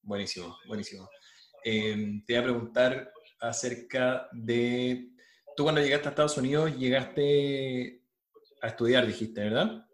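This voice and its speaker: A man's voice: medium pitch, steady tone, measured pace, roomy sound. A man with a Chilean accent hosting an interview, asking long, winding questions. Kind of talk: casual interview.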